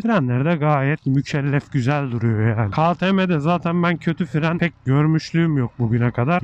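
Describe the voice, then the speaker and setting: A man's voice: a little high, lo-fi sound. A man talking casually while riding a motorcycle through city traffic, thinking aloud as he reviews the bike in an informal, chummy way. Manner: chatty and informal, calls the viewer "abi", muses aloud.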